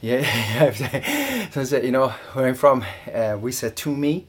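A man's voice: medium pitch, big pitch swings, a little slow. A man chatting casually while working out. He rambles between sets, laughs often, and sounds out of breath and sweaty. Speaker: online audio creator.

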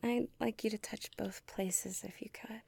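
A woman's voice: mid-range voice, steady tone, measured pace, darkish tone. A young woman speaking in an intimate audio roleplay, whispering breathily and sighing between words.

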